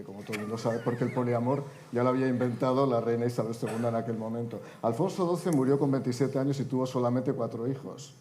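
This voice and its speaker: A man's voice: medium pitch, warm tone, slight background noise. A man in his fifties speaking on a conference panel, delivering an oratorical talk with wry, joking asides. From Spain. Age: 50s.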